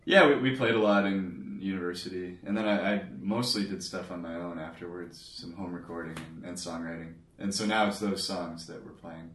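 A man's voice: sits low, fairly even intonation, brisk, few pauses, fairly bright tone, roomy sound, distant mic. A man from Canada, a guest musician, talking in an easygoing way and hedging often with "I mean". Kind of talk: casual chat.

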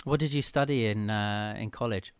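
A man hosting a radio show, chatty and quick to laugh, often stopping to correct himself. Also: on the low side, clean tone, no room sound. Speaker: radio host. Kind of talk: casual radio chat.

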